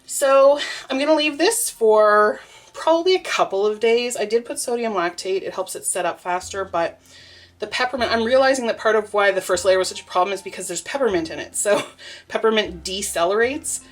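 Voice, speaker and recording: A woman's voice: mid-range voice, fairly quick. Chatty, sniffly young woman soap maker. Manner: chatty, laughs often, rambling with many fillers. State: sniffly, congested nose. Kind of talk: casual vlog narration while working.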